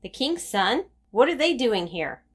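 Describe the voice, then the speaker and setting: A woman's voice: on the high side, sing-song, unhurried, breathy edge, exaggerated voice. A woman playing a priest's fearful, practical wife, agitated and protesting, in an audio Bible drama. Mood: puzzled alarm.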